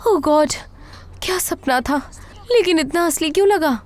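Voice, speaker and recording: A woman's voice: high voice, moderate pace, noisy background, voice pushed up, close to an everyday voice. A woman voicing a frightened young wife in a horror story, earnest, anxious and insistent. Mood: shaken. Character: frightened, haunted young wife grieving a lost child. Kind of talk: audio horror story acting.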